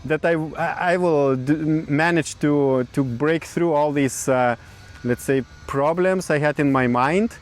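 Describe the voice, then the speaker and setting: A man in his thirties from Lithuania, speaking English with a Lithuanian accent in casual conversation, often pausing to search for words. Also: on the high side. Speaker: podcast co-host. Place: outdoors in a city.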